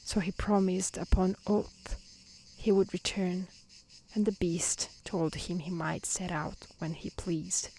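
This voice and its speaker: A woman reading a fairy tale aloud in a soft, near-whispered ASMR style, gentle and calm. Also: on the low side, poor audio. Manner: gentle, calm, unhurried delivery. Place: outdoors in nature.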